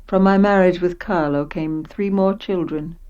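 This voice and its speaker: A woman's voice: lowish voice, fairly even intonation, measured pace, a little mellow, clear, resonant tone, dull audio, natural voice. An actress playing a sorrowful woman who narrates her own life in a radio play, reflective and resigned. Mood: wistful.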